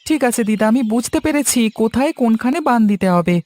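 A woman's voice: fairly high pitch, expressive, fast, darkish tone, dry recording, close to an everyday voice. A woman voicing an earnest, polite and hardworking poor village boy in a cartoon story. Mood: agreeable.

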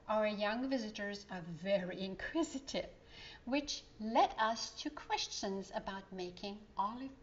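A woman voicing a warm aunt who explains things in a children's storybook. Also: medium pitch, lo-fi sound, natural voice. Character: warm aunt recounting the family's day. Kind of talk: children's storybook character reading.

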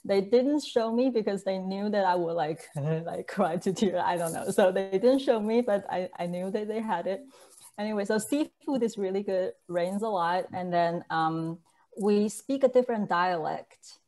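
A young woman from southeast China, a choreographer answering questions casually on a video call from a large loft room, pausing often with fillers and restarts. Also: medium pitch, dark tone. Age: young adult. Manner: hesitant, many fillers and restarts. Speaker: choreographer guest. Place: large empty loft room with brick walls, indoors. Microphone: webcam on a video call.

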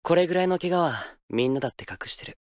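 An actor playing a cool, cutting high school senior ballplayer in a baseball game, understated in delivery. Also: male voice, animated, fast talker, loud music behind the voice, natural voice. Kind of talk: anime-style game character lines.

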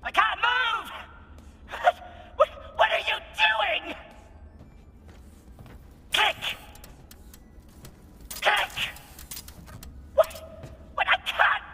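An actor playing a small, trigger-happy talking gun robot in a video game, theatrical and indignant, shouting his lines. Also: very high voice, huge pitch swings, very slow, long pauses, in a large hall, exaggerated voice. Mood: panicked outrage.

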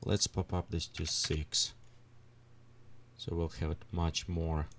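A man with leading a software tutorial at his computer, thinking aloud as he adjusts settings. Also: low voice, level tone, a little hiss or hum. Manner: unhurried, thinks aloud while clicking through settings. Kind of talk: screen-recorded software tutorial.

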